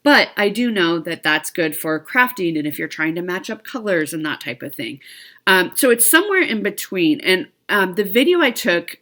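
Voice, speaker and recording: A woman's voice: on the low side, fairly bright tone. A middle-aged woman chatting casually to viewers of her cross stitch vlog, a little stuffy from allergies, rambling with fillers and small laughs.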